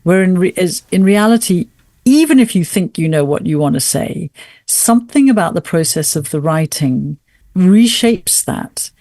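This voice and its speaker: A woman speaking as an interview guest, explaining ideas in an articulate, measured and thoughtful way with emphatic pauses. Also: fairly low pitch, animated, mellow, dry recording, clean studio sound. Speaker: writer and podcast guest.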